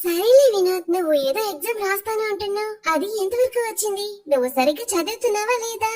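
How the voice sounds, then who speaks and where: A woman's voice: extremely high pitch, animated, fast talker. A woman voicing a young college girl in love, insistent with those close to her, in a cartoon story. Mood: nagging. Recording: music behind the voice. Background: music.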